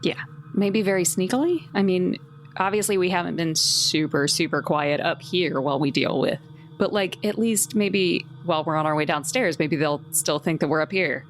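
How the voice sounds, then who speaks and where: A woman's voice: fairly low pitch, normal speed, soft background music. A woman with a wry manner, chatting and joking casually as a tabletop game player.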